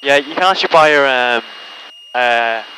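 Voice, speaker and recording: Male, mid pitch, casual in-flight chat, noisy small-plane cockpit headset audio. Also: normal speed, pushed and bright. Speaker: flying companion in a light aircraft. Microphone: aviation headset intercom. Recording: noisy light aircraft cockpit intercom. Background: engine hum and radio.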